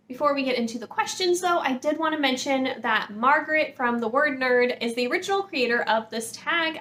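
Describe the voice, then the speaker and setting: A woman with a fairly high voice talks casually to her viewers as a book vlogger. She is informal and chatty, wandering into hedged asides. There is faint noise behind her voice. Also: fairly bright tone. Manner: informal, hedging, rambling asides. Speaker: book vlogger, channel host.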